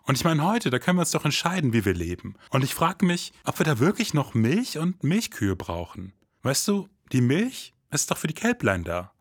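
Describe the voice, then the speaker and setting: Easygoing, idealistic noir detective for audio drama. Slightly rough voice, colloquial, close dry sound. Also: male voice, a little high, very animated, normal speed, few pauses, no room sound, exaggerated voice. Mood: casual, questioning. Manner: casual, colloquial, questioning. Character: laid-back male detective, idealistic, hard-boiled noir narrator.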